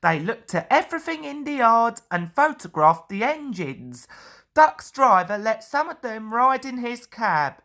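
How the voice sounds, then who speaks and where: A woman's voice: a little high, sing-song, measured pace, a bit bright. A woman narrating a children's story as its storyteller.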